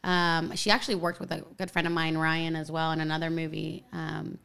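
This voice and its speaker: An actress guest on a podcast who chats casually in a sweet, childlike voice, with plenty of fillers and giggles. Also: female voice, deep voice, flat delivery, brisk, vocal fry, fairly bright tone, smooth. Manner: giggly, chatty, lots of fillers.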